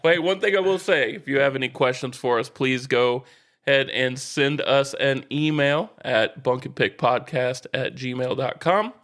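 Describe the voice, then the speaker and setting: A man's voice: medium pitch, unhurried, a bit bright. A man co-hosting a podcast in casual banter, teasing and needling his partner with exclamations. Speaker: podcast co-host.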